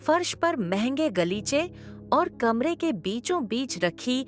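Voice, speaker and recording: A woman narrating a cartoon story in an expressive, measured storytelling manner. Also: high-pitched, faint music behind.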